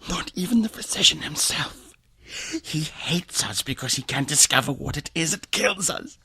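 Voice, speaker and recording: An actor playing a tormented, half-mad aristocrat in a radio drama, theatrical and feverish, swinging between hushed and frantic delivery. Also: male voice, on the high side, animated, moderate pace, vocal fry, slightly rough, exaggerated voice. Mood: feverish, secretive. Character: tormented, half-mad male aristocrat, last of a cursed line. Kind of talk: melodramatic radio drama acting.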